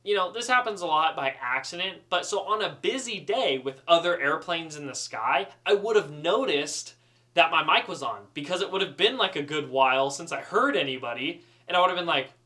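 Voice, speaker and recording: A man telling a casual, humorous story in an animated, chatty, self-deprecating way. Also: a little high, fairly quick. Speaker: student pilot storyteller.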